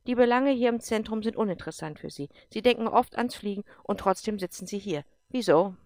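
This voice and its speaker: A woman's voice: mid-range voice, brisk, no echo, voice pushed up, lightly acted. Woman voicing a stern, commanding female general. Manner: clipped, forceful, brooks no argument.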